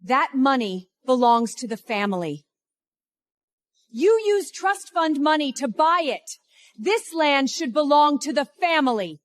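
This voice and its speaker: A woman plays an entitled rich relative in a melodrama. Her high voice rises and falls sharply with indignation, in a dry recording. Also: big pitch swings, measured pace, no room sound. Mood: indignant. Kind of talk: melodramatic drama acting.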